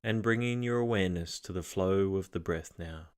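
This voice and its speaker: Male meditation guide, deep register, gentle and measured scripted narration. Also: clean tone. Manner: calm, measured, gently instructing.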